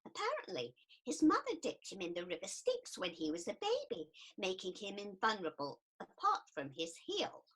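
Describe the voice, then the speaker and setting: A woman's voice: mid-range voice, huge pitch swings, moderate pace, few pauses, a bit bright. A woman playing an earnest, eager and caring new counsellor in a comedic radio play, with a prim manner. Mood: earnest, concerned.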